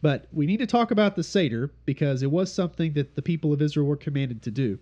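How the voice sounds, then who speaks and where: A man's voice: a little high, animated, fast, warm tone, lo-fi sound. A man leading an informal Bible study, explaining steadily and repeating key points, with a congested, stuffy nose.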